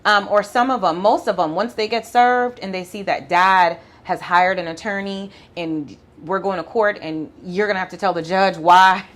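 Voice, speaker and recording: A woman with a Jamaican accent, an attorney explaining law in a casual interview, talking quickly and laughing often. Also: mid-range voice, fairly even intonation. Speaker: family law attorney, podcast guest.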